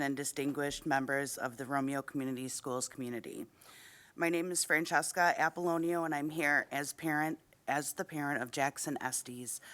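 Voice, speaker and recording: A woman with a low voice reads a prepared statement in a formal, earnest and measured way. She is slightly unwell and speaks into a podium microphone at a school board meeting. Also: fairly bright tone. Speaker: parent giving public comment.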